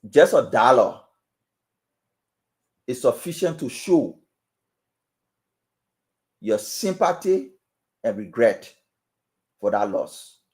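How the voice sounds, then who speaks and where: A man's voice: medium pitch, big pitch swings, slow, pauses often, a little mellow. A man with a Liberian accent, speaking as a guest on a political talk show with an emphatic, preaching cadence and repeated phrases. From Western Liberia. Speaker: panel guest.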